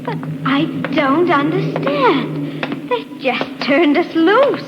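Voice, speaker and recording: A woman's voice: very high voice, big pitch swings, slow, over loud music, heavily acted. An actress playing a frightened, pleading young woman, polite and cautious, in a 1950s radio drama. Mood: puzzled. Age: young adult. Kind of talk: 1950s science fiction radio acting. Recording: dull old radio recording, loud crowd behind.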